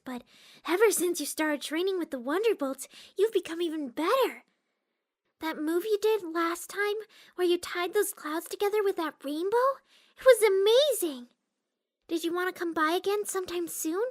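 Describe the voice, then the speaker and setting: A woman voicing a gentle, timid young female cartoon pony, reading a letter aloud with sweet hesitancy. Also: very high voice, normal speed. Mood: sweet, admiring.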